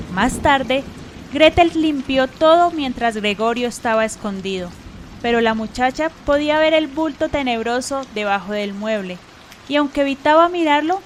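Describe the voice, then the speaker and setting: A woman's voice: sits high, slight background noise. A woman narrator telling a scripted story for a radio drama.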